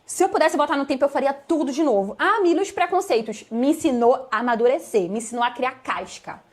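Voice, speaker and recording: A Brazilian woman from Bahia with a smoothed São Paulo accent, a guest telling her life story in an animated, emphatic way that repeats phrases for effect. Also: sits high, fast. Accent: Brazilian Portuguese, São Paulo-smoothed. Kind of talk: conversational interview storytelling.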